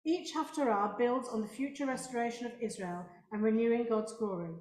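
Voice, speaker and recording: A woman leading a synagogue service, making announcements to the congregation in a halting, hesitant way. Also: on the high side, a little mellow. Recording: echoey room.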